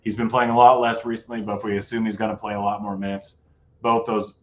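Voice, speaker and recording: A man's voice: fairly low pitch, little pitch movement, fast, fairly bright tone, muffled recording, slight background noise. A man hosting a casual sports betting show, talking through his picks in a laid-back way, his voice a bit worn. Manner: laid-back, rattles off odds. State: losing his voice.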